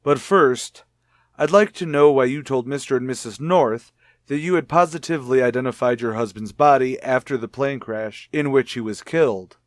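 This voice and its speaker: An actor playing a calm, persistent police lieutenant in a radio detective drama, formal and politely insistent. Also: male voice, medium pitch, normal speed, dry recording, clean studio sound, natural voice. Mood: politely probing. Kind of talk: old-time radio detective drama acting.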